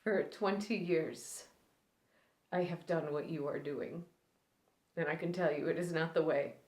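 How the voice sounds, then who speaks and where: A woman performing a dramatic stage monologue as a reclusive widow who haltingly breaks a long silence, in short, pained phrases. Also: sits low, steady tone. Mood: halting, hushed. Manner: halting, short broken phrases, sighing, swinging from hushed to pained. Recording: roomy, echoing indoor sound.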